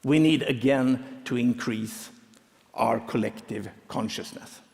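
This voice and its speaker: Man speaking slowly in a large hall. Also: medium pitch, vocal fry, a little mellow. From Sweden. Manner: measured, phrase-by-phrase delivery. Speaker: conference speaker. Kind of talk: prepared talk on stage. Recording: long reverb of a large hall.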